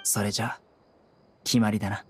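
A man voicing a stern, calm, resolute young judge in an anime game, speaking in a measured, serious, blunt way. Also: on the low side, a little hiss or hum, close to an everyday voice. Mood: firm. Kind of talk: Japanese anime game voice acting.

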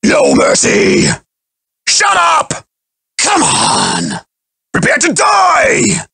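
A soul-hungry undead pirate villain from a fighting game. His voice is gravelly and sharp, swinging wildly in pitch. He delivers every cruel taunt with theatrical menace. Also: huge pitch swings, extremely slow, no room sound, studio quality, heavily acted. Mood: furious, commanding. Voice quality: harsh, raspy, gravelly.

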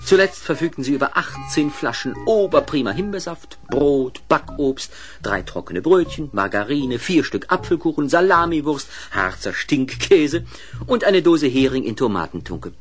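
A man's voice: fairly high pitch, sing-song, normal speed, faint music behind. A man narrating a children's radio play in a vivid, dramatic storytelling manner.

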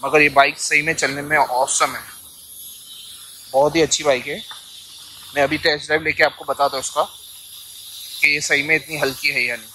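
A man's voice: a little high, level tone, moderate pace, long pauses, pushed and bright, low-quality recording. A man, casually vlogging outdoors in a city parking lot, recorded on a phone camera. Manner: chatty, playful rhyming greeting. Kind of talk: casual vlog, showing a motorbike. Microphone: phone camera, handheld or at arm's length. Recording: phone video with clear street noise.

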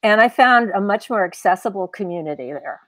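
A woman's voice: mid-range voice, expressive, brisk, fairly bright tone, no echo. An older woman, an author being interviewed, talking reflectively and explaining things in a casual conversation on a video call. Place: indoors at home on a video call. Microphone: wired earbuds on a video call.

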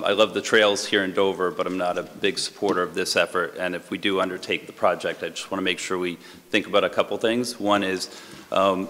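A middle-aged man speaks up from the audience at a town hearing. His voice is very low and flat, with a bright, forceful edge. His measured, polite comment carries a long hall reverb. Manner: measured, polite, with frequent ums. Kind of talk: public comment at a town meeting. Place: large hall, standing in the audience.

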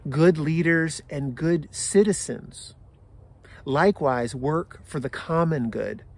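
A man in his forties with an American accent, a pastor giving a sermon in measured phrases with deliberate pauses. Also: fairly high pitch, gravelly. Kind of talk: sermon for an online service. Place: outdoors in a garden.

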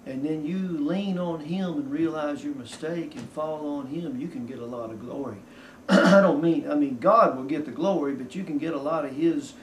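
A middle-aged man giving an earnest, halting spoken testimony as a minister, seated in a living room. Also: fairly high pitch. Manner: earnest, halting with many restarts. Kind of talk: testimony sermon on TV broadcast. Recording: roomy, distant camcorder sound, faint hiss.

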